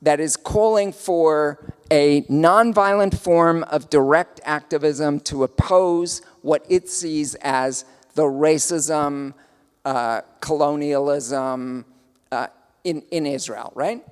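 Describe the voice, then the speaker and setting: A man's voice: fairly high pitch, slow, smooth, long reverb tail. A man with a South African accent, giving a lecture and answering audience questions, speaking thoughtfully with many fillers and restarts.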